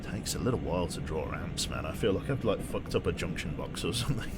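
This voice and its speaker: A British man streaming a train game, chatting casually and getting easily excited, swearing now and then. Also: fast talker. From United Kingdom. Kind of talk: casual gameplay commentary. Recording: loud train rumble behind voice. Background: train simulator engine and rail noise.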